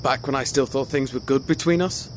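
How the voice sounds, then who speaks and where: An actor playing a hurt young ex-boyfriend in an audio drama, sardonic, clipped and dismissive. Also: male voice, medium pitch, a little fast, lightly acted. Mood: sarcastic. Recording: noisy, night insect ambience behind.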